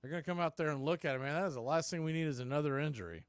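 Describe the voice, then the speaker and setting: A man's voice: on the high side, rapid-fire, clean tone, no room sound. A man doing live baseball play-by-play as an informal, colloquial announcer who openly roots for his team. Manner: homer fan, informal and colloquial. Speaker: baseball play-by-play announcer for a fan watch party. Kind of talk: live sports play-by-play commentary.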